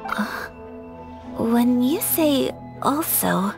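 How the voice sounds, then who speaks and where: A woman playing a polite, refined, shy young noble lady in a video game, speaking gently and formally with earnest care. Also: fairly high pitch, lively intonation, extremely slow, creaky, exaggerated voice. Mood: intrigued. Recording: music playing behind the voice.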